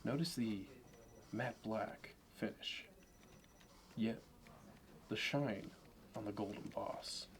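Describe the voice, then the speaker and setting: A man playing a smug, fastidious investment banker in an ASMR roleplay, with smooth, measured, fussy sales talk. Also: lowish voice. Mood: meticulous appraisal. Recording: clear background noise.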